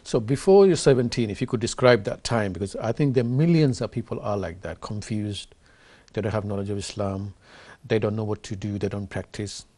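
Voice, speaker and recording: A man's voice: on the low side, fairly quick, mellow. A man in his thirties hosting a studio interview, asking earnest, eager questions with many fillers. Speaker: host. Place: TV studio set.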